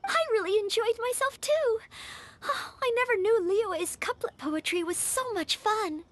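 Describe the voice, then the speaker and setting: A woman voicing a polite, earnest and gentle young maid in an anime game. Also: extremely high pitch, measured pace. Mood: cheerful, polite. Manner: courteous, gentle storytelling.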